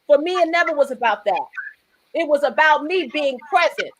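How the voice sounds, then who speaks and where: This is a woman with a high-pitched voice, hosting a talk show in a casual tone. She speaks with emphatic, preachy conviction and rambles through her points, often restarting mid-sentence. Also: expressive, moderate pace, a bit bright, no echo.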